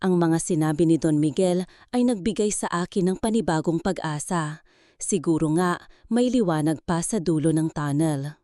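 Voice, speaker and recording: Female narrator for sentimental first-person drama; lowish, mellow voice, dry close sound. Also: moderate pace, no echo. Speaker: story narrator. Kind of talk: scripted first-person dramatic story narration in Tagalog radio drama manner.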